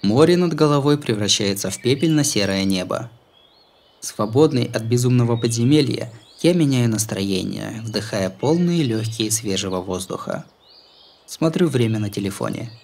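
A man's voice: mid-range voice, lively intonation, normal speed, vocal fry, a little mellow. A man reading a novel aloud as an audiobook narrator, in a dramatised scripted style. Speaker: audiobook reader.

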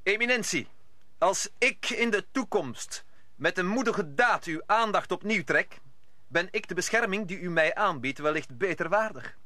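A man's voice: high-pitched, animated, moderate pace, sharp tone, no room sound. An actor playing a bold, hot-headed young gallant swordsman in an old Dutch radio play. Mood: courteous.

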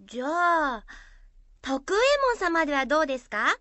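Woman playing a high-pitched curious girl. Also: animated, pushed and bright, dry recording. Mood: polite questioning. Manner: inquisitive, drawn-out musing. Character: curious, admiring young schoolgirl. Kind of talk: amateur radio drama.

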